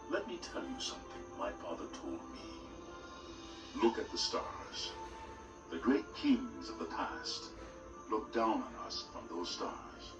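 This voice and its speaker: An actor playing a wise, proud lion king who speaks in a deep, measured, fatherly way. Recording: roomy audio from a distance with music. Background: loud orchestral music.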